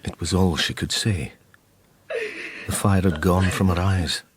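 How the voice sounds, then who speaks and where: An actor playing a troubled psychic detective who narrates his own story in a radio drama, slow and brooding. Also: deep voice, background noise. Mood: haunted, brooding. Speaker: narrator and lead character.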